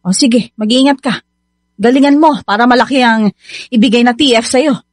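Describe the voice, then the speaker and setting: A woman's voice: a little high, normal speed, no room sound, studio quality, lightly acted. A woman with a Filipino accent playing a nagging, greedy, belittling middle-aged mother in a radio drama, scolding and dismissive. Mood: grudging, demanding. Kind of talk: radio drama acting in Tagalog.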